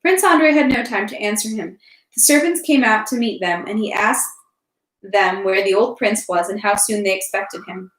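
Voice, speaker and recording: Woman, medium pitch, informal livestream reader, casual delivery, echoey room sound. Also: moderate pace, a bit bright. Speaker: livestream host reading a novel aloud. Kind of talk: casual livestream chat and storybook read-aloud.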